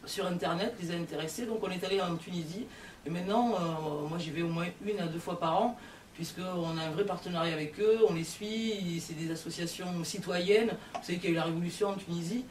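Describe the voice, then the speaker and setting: A woman's voice: on the low side, brisk, a little mellow. Woman musician chatting, lots of "euh", roomy far-off sound. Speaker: band musician being interviewed. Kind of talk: casual interview chat. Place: meeting room in a care home. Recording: roomy, distant, faint hiss.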